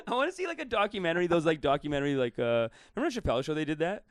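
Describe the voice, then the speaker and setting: A man, a comedian co-hosting a casual podcast, animated and laughing often. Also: fairly high pitch, racing, dry recording, clean studio sound. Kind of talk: casual banter.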